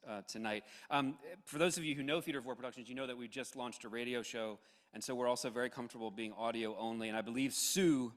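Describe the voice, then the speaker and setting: A man's voice: mid-range voice, a bit bright. A man hosting and moderating a live audience discussion, recorded in a large hall. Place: large hall, live event with video call. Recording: echoey hall, long reverb.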